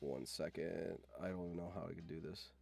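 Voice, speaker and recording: A man's voice: sits low, steady tone, brisk, creaky, a little mellow, soft background music. A man hosting a livestream, chatting casually with viewers and thinking aloud, often repeating his phrases. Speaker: livestream host.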